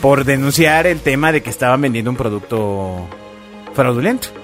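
A Mexican man co-hosting a casual talk show, presenting a story in a halting, joking, conversational way. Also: medium pitch. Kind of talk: casual chat, presenting a news item. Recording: music playing behind the talk. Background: background music.

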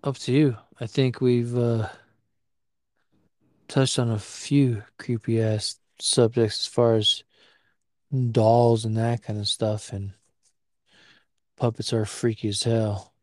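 A man's voice: mid-range voice, little pitch movement, slow pace, pauses often, darkish tone, no room sound, clean studio sound. A man hosting a podcast in a rambling, laid-back, sweary casual chat. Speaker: podcast host.

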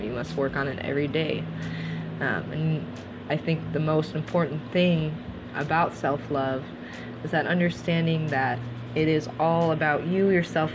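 A woman's voice: sits low, noisy background. A woman in her twenties, originally from Russia, hosting a podcast and talking earnestly and reflectively about her life. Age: 20s. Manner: earnest, reflective, steady delivery. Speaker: podcast host. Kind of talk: personal monologue podcast.

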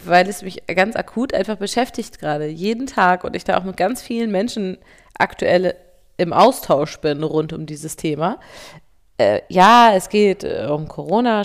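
A woman in her thirties co-hosting a podcast as a parent, talking fast and getting worked up, sometimes turning sarcastic. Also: on the low side, gravelly. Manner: fast, agitated, sarcastic when upset. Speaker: podcast co-host. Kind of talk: casual podcast chat.

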